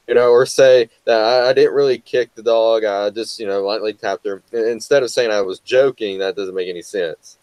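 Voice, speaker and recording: A man's voice: fairly low pitch, fairly even intonation, normal speed, few pauses, a little mellow, clear, resonant tone, no echo, rough recording. A young American man co-hosting a casual talk show, rambling with lots of fillers and restarts. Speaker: co-host. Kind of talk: casual chat.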